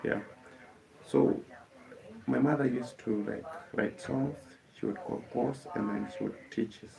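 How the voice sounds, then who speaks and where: A young Kenyan man, a musician whose first language is Kikuyu, telling the story of his life in a halting way, with many fillers and restarts. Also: medium pitch, vocal fry, a crowd in the background, low-quality recording. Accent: Kenyan English.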